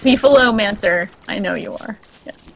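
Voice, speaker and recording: A woman's voice: medium pitch, normal speed, vocal fry, a little hiss or hum, rough recording. A woman with a Boston accent, co-hosting a casual game stream, joking and laughing often as she explains game lore. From Boston area. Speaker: co-host. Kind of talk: casual stream chat over gameplay.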